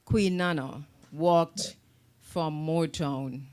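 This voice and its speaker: A woman's voice: sits low, very slow. A Jamaican woman hosting a radio talk show, chatty and affirming with her guests. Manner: warm, affirming, chatty interviewer. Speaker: radio host.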